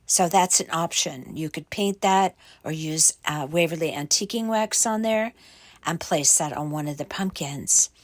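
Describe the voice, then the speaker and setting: Slow, raspy woman with a cold. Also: deep voice, no room sound. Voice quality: raspy, croaky. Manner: slow, explanatory, with fillers and self-corrections. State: hoarse from a cold. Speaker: craft tutorial host. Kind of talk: step-by-step craft tutorial voice-over.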